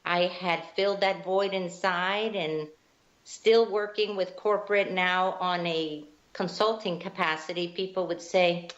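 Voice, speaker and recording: A woman's voice: fairly low pitch, steady tone, unhurried. A woman from Guatemala, telling her life story as an interview guest, earnest and reflective. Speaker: guest, spiritual teacher. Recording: dull lo-fi line.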